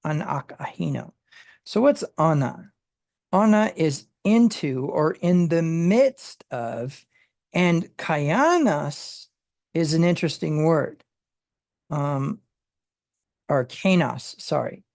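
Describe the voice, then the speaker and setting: A Bible study teacher who explains word meanings in a halting way, with many fillers and restarts. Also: medium pitch, animated, vocal fry, a little mellow, dry recording, clean studio sound.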